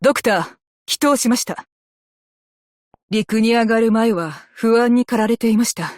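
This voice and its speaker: A woman playing a composed, dutiful female naval officer in a game, speaking with measured, formal military politeness. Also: fairly high pitch, a little mellow, no room sound, clean studio sound, close to an everyday voice. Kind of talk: scripted game character voice lines in Japanese.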